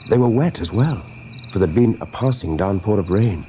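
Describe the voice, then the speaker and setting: A man's voice: on the low side, expressive, normal speed, mellow. A man narrating a scripted radio drama as its storyteller. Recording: dull, faintly noisy old radio tape.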